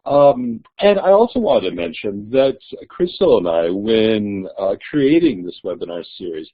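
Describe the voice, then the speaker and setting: A man's voice: lowish voice, very animated, unhurried. A man hosting a webinar, giving friendly closing remarks with frequent fillers. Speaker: webinar host. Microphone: video call. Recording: tinny online call audio.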